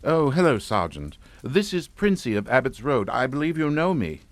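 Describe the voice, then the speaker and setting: A man's voice: medium pitch, sing-song, moderate pace, dry recording, natural voice. An actor playing a genteel, middle-aged family man in a suspense drama, pompous and formal with a falsely jovial manner. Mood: grave, formal. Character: genteel English family patriarch, smoothly hypocritical and unflappable. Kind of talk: radio suspense drama acting.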